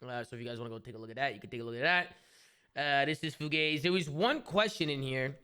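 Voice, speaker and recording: A young man hosting a casual esports talk show, reading out viewer questions in a quick, upbeat way that sometimes drops to a mumble. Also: medium pitch, pushed and bright, smooth.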